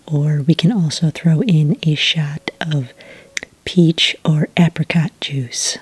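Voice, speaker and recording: Breathy, whispering cafe witch played by a woman. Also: low-pitched, fairly even intonation, slow pace, no room sound, exaggerated voice. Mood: patient, gentle. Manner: patient, gentle, explanatory. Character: friendly helpful witch serving at a magical cafe. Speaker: cafe server taking orders. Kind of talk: soft-spoken whispered ASMR roleplay.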